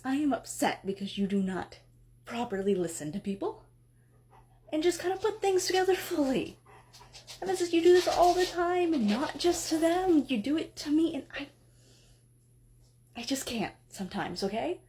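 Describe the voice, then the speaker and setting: A woman voicing an exasperated goddess who scolds her husband, swinging between sharp outbursts and weary pleading. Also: mid-range voice, lively intonation, normal speed, voice pushed down, natural voice. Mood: frustrated. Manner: scolding, then pleading and tearful. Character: exasperated, emotional goddess wife.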